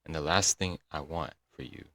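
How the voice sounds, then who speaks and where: A man's voice: very deep voice, moderate pace, bright, forceful tone, dry recording, studio quality, exaggerated voice. A man playing a doting, apologetic young yandere kidnapper in a comedic roleplay audio drama, coaxing and rambling intimately to the listener. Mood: worried, tender. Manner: coaxing, rambling, pet names, nervous chuckles.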